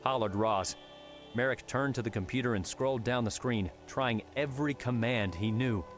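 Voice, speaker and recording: A man narrating an audiobook in a measured, dramatic way. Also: medium pitch. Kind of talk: scripted narration. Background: music score.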